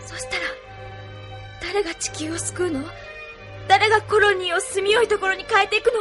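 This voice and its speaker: A woman's voice: very high voice, fairly even intonation, brisk, music in the background. An actress playing an earnest, headstrong and loyal young resistance woman in a Japanese anime audio drama, pleading and insistent. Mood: pleading, determined.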